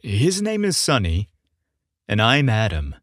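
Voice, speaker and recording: Man narrating as an easygoing, slow-talking young jock. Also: fairly low pitch, huge pitch swings, a bit bright, no echo, studio quality, exaggerated voice. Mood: amiable. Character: easygoing, sweet-natured athletic young man. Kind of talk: audiobook character dialogue.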